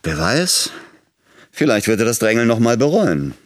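An actor playing a calculating, cynical politician confiding in a radio drama monologue. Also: male voice, medium pitch, sing-song, moderate pace.

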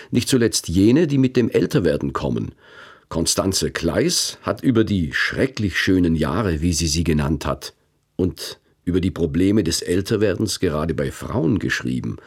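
A man's voice: low voice, breathy edge. A man in his fifties hosting a radio feature, narrating in a reflective, wry first-person way. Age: 50s.

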